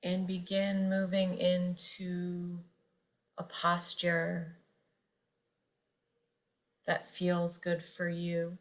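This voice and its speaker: A woman guiding a yoga nidra meditation, speaking gently and unhurriedly with long pauses between phrases. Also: fairly low pitch, monotone. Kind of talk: guided meditation narration.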